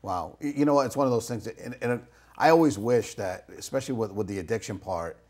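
Husky middle-aged guy hosting a studio talk show, lowish voice, rambles and restarts a lot. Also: animated, quick pace, a little mellow. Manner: rambling, many restarts and asides. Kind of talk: casual interview chat. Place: small TV studio with curtain backdrop.

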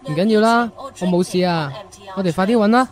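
A man's voice: high-pitched, brisk, close to an everyday voice. Actor as considerate young working man. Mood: friendly. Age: young adult.

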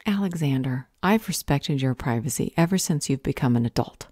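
A woman playing a calm, protective vampire healer, a motherly mentor speaking earnestly in an audio roleplay. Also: rumbling low, brisk, no echo, studio quality. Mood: patient, evasive.

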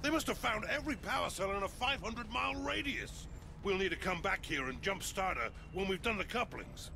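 Excitable crude game sidekick, high pitched n gushing, loud machine noise behind. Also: male voice, brisk, vocal fry. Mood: awestruck. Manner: gushing, boastful. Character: excitable, crude, fat tinkering sidekick, a man. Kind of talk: video game cutscene acting.

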